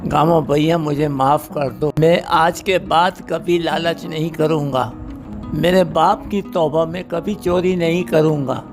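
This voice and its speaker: A man doing a gravelly greedy cartoon thief. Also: a little high, fairly even intonation, unhurried. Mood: grateful. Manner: sly and boastful, turns pleading when trapped. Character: greedy scheming male villager, thief. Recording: voice over background music.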